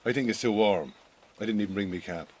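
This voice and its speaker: An actor playing an awkward, well-meaning Irish farm boss in a radio drama, with an Irish accent and a blunt but stumbling way of talking. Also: male voice, on the low side, quick pace, darkish tone, lightly acted. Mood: awkward, friendly.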